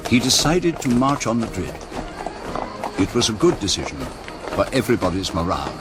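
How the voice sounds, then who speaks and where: An actor playing a measured, dry officer narrator recounting a campaign in a radio drama. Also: male voice, on the low side, sing-song, loud music behind the voice. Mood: measured, reflective.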